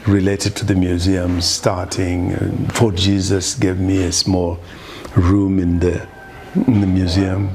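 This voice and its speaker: Older East African man, low voice. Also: flat delivery, takes it slowly, a little mellow, a little hiss or hum. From Uganda, grew up partly in Mombasa, Kenya. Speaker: artist being interviewed. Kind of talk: casual reminiscing interview. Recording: busy chatter in the background. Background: crowd chatter.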